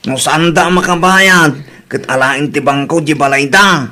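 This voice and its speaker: Concerned, plain-spoken rural husband , male actor, Ilocano accent, slightly high bright voice, conversational drama delivery. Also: measured pace. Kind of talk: amateur audio drama acting, conversational.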